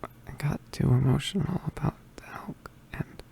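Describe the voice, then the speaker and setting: A performer voicing an injured, poisoned young male witch in an ASMR roleplay, weak and apologetic, mostly whispering in halting, pained breaths. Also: mid-range voice, dark tone. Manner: halting, pained, with sighs and throat clearing.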